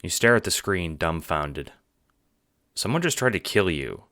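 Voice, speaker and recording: Deep guy doing audiobook narration, really animated, super dry studio sound. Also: a little slow, dry recording. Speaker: narrator and producer of an audio drama. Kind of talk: scripted second-person audiobook narration.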